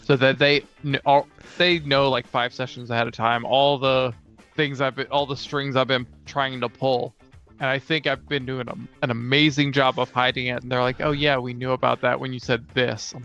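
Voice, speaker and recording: Gravelly, even-toned man in casual chat. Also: medium pitch, moderate pace, highs cut off, soft background music. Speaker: podcast co-host and game master. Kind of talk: casual chat about tabletop role-playing games.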